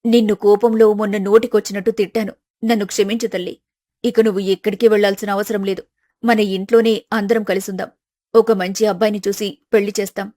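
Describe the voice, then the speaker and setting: A woman's voice: medium pitch, little pitch movement, a little fast, mellow, dry recording, voice pushed down, natural voice. A woman voicing a middle-aged mother in a story, protective and loving, with an emphatic, commanding way of talking. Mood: tender, pleading.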